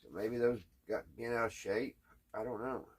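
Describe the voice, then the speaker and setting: A man chatting casually in a halting, puzzled, folksy way, thinking out loud as he tinkers. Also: medium pitch, steady tone, breathy edge. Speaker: host of a radio repair hobby channel. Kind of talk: casual hands-on repair chat, thinking out loud.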